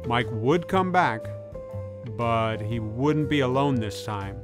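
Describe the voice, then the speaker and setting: Male narrator, mid-pitched, scripted yet conversational history documentary read, guitar music clearly underneath. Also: slow. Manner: informal, conversational wording. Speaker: narrator and presenter.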